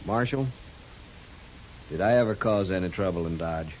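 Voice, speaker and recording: Male actor as dangerous frontier gunman, deep voice, calm, proud, folksy, dull hissy old radio sound. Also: lightly acted. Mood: respectful, wary. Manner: plain-spoken, folksy, unhurried. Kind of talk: 1950s radio drama acting.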